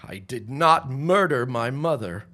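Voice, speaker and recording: A man playing a defiant, contemptuous grown son in a period audio drama, with a formal, measured and cutting delivery. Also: medium pitch, expressive, bright, forceful tone. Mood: defensive.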